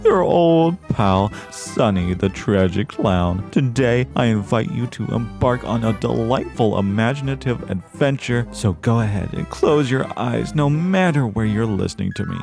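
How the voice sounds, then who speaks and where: Tragic male clown pitchman, played by a man: lively swooping pitch, theatrically friendly yet needy, wistful radio-ad storytelling, gravelly edge. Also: music in the background. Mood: falsely jolly. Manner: drawn-out, dramatic, wistful storytelling.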